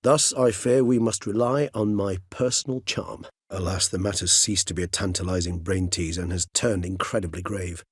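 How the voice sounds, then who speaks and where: A courteous old vampire scholar for a video game, in a lowish, slightly husky voice recorded close and dry. He speaks formally and with measured eloquence, philosophical and wry, fond of long, precise phrasing. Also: fairly even intonation, no echo. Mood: grave. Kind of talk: video game character dialogue.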